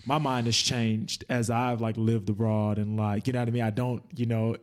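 A man's voice: mid-range voice, level tone, normal speed. A man hosting a podcast interview, chatty, laughing often and encouraging his guest. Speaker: podcast host. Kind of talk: casual interview chat.

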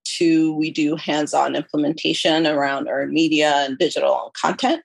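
Woman, low pitch, podcast guest, conversational, reflective, explanatory, dry recording. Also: steady tone, normal speed, gravelly, husky, dry recording. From born in Ethiopia, moved to the US at about eleven. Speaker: podcast guest, consulting firm chief executive. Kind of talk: conversational interview answers.

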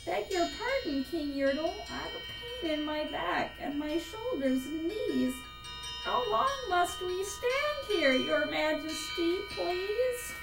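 A plain little turtle underling from a storybook, played by a woman, pleading politely with a groan. The voice is high and lilting, in an echoey space with loud music. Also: expressive, slow, gravelly, exaggerated voice. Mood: meek, pleading. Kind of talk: rhymed storybook read-aloud. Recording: roomy echo, distant, loud music behind.

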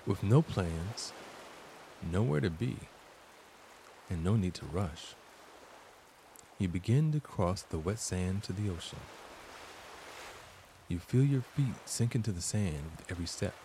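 A man narrating a scripted guided meditation as its host, deliberate and soothing, leaving long pauses between short phrases. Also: sits low, lively intonation, warm tone, a little hiss or hum.

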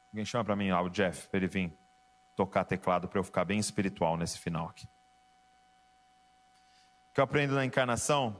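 A man preaching a sermon to a congregation, emphatic and rising to passionate exhortation. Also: deep voice. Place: church with keyboard behind.

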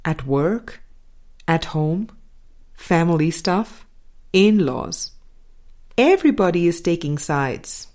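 A woman reading a scripted spiritual dialogue aloud in a measured, deliberate way, pausing between short phrases. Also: lowish voice, big pitch swings, darkish tone. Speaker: audiobook reader.

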